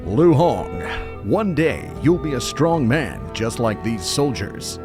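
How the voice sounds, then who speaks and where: A man's voice: mid-range voice, big pitch swings, slow, over music. A man playing a rugged, wise farmer father in his forties, with solemn, stilted dubbed-film delivery. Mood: solemn, fatherly.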